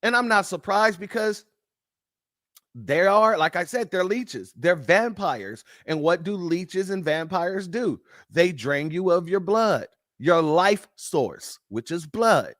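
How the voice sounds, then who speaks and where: Fiery high-voiced man preaching. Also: expressive, slow, fairly bright tone, dry recording, studio quality. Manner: fiery, emphatic, profane, repetitive. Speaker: livestream host. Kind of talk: preaching-style livestream commentary.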